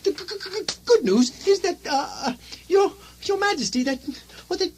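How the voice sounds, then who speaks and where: Very high, stuttering, panicky nobleman. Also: lively intonation, darkish tone. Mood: nervous dread. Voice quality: heavy comic stutter. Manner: hysterical, overwrought, halting. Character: panicky, stuttering, excitable Scottish nobleman in a comedy. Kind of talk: comic radio play acting. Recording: faint noise on old radio recording.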